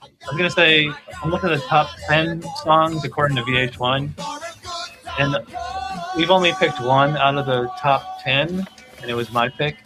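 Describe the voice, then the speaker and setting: A man's voice: fairly high pitch, normal speed. A man hosting a podcast and talking casually about music. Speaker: podcast host.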